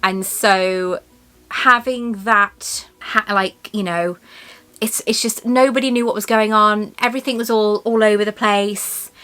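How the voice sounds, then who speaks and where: A woman's voice: mid-range voice, steady tone, measured pace, pushed and bright, clean tone. A woman with a Welsh accent hosting a chatty unboxing vlog, rambling, gushing and laughing often. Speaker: YouTube host.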